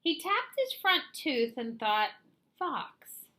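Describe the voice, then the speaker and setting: A bright-voiced woman tells a children's story with very animated pitch. She reads slowly and theatrically, like a picture-book read-aloud for young listeners. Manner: theatrical, deliberate.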